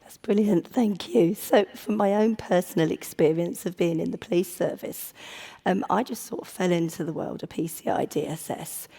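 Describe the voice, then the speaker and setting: A woman with a croaky voice moderating a conference panel, polite and clearing her throat often. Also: fairly low pitch, normal speed, warm tone. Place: conference stage before an audience. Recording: long hall reverb.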